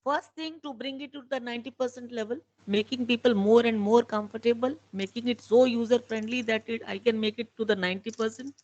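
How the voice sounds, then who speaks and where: High-voiced Indian woman explaining company business. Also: steady tone, fairly quick, few pauses, a little mellow, highs cut off. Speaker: company executive. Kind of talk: investor conference call answers.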